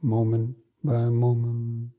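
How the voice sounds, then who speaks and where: A man guiding a breathing meditation, speaking softly and evenly with long pauses between phrases. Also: medium pitch, dull audio. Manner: slow, soft and even, with long pauses. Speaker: meditation teacher.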